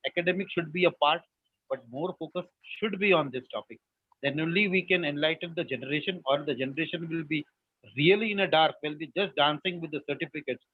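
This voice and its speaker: A man's voice: on the high side, breathy edge, dry recording. Earnest Indian man on a tinny call. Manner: earnest, speechmaking. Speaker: English and maths teacher. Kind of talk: online round-table discussion. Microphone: video call. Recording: dry, tinny phone-like call audio.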